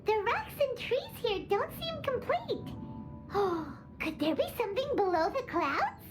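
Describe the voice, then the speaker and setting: A tiny floating sidekick creature chirps in a very high, childlike voice that leaps up and down with every exclamation. She is bubbly and excitable, and she talks about herself by name. Loud game music plays behind her. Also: unhurried, heavily acted. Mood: excited. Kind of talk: video game dialogue.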